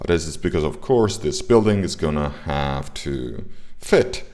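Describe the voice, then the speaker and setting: Slow, very deep-voiced Italian man. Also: expressive, a little mellow. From Italy. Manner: hesitant, many fillers and restarts. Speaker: indie game developer streaming. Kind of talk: casual livestream commentary.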